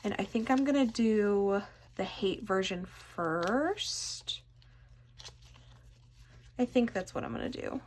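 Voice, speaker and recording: A woman's voice: medium pitch, expressive, slow pace, long pauses, a little hiss or hum. Stuffy-nosed chatty young woman vlogger. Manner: rambling, self-deprecating, chatty. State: stuffy nose. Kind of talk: casual chat while sorting cards.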